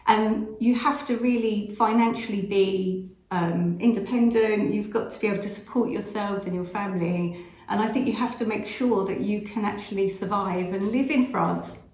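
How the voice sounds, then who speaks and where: A woman's voice: medium pitch, moderate pace, darkish tone. A British woman with an English accent hosting a casual chat, rambling with plenty of fillers. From Britain. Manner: chatty, rambling with many fillers. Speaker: host. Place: at home, outdoors in summer. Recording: echoey room.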